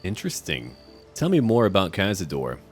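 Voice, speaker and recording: A man's voice: low-pitched, wildly swooping pitch, fairly quick, faint music behind. A man giving casual, musing commentary as he plays a role-playing game and speaks dialogue choices aloud.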